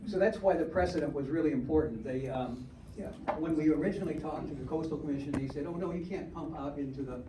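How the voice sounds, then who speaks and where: A man's voice: on the high side, fast talker, few pauses. A man answering audience questions at a homeowners meeting, informative and full of uh and um. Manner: informative, with frequent uh and um. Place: community meeting hall. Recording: echoey, distant, noisy meeting room.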